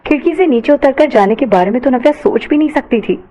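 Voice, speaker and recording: A woman's voice: high voice, fast talker, warm tone, soft background music. A woman reading scripted narration for an audio story.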